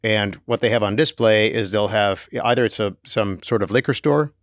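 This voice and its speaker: A man's voice: lowish voice, moderate pace, dry recording, studio quality. A middle-aged man co-hosting a podcast in casual, jokey conversation. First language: Turkish. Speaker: podcast co-host. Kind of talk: casual chat.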